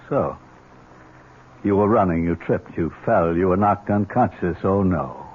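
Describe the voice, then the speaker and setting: An actor playing a sly, cynical older uncle with a sardonic, insinuating manner in old-time radio drama. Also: male voice, sits low, slow pace, breathy edge, full character voice. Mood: sly, needling. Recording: tinny, noisy vintage radio recording.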